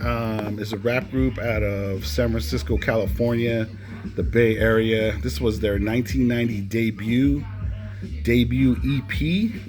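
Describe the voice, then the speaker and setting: A man's voice: medium pitch, steady tone, measured pace, darkish tone. A man casually presenting a collection in an informal way, often ending phrases with "man". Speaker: music collector presenting cassettes. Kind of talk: casual collection showcase commentary. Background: music playing behind the voice.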